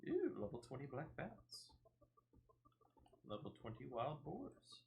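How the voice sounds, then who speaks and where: A man's voice: a little high, huge pitch swings, takes it slowly, creaky, warm tone. A man giving casual gameplay commentary as a streamer, chatty and cracking self-deprecating jokes. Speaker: gaming streamer.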